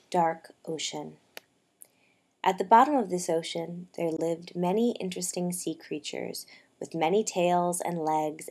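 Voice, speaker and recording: Low-voiced woman telling a story. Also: dark tone. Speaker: storyteller narrator. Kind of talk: slow poetic storytelling narration for a shadow puppet show.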